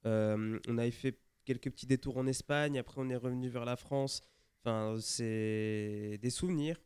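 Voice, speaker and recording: A man's voice: medium pitch, normal speed, darkish tone, clean tone. A young man, born in Italy and raised in France, chatting as a guest and explaining things earnestly. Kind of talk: casual chat.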